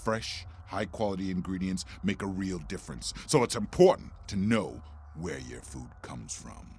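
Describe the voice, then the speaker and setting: An actor playing a menacing, monstrous, contemptuous announcer with a gravelly, raspy voice, reading scripted copy theatrically. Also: male voice, sits low, expressive, normal speed, a little mellow, full character voice. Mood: ominous salesmanship. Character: menacing monstrous male announcer scolding his co-hosts, bleakly contemptuous. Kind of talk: in-character scripted sponsor read.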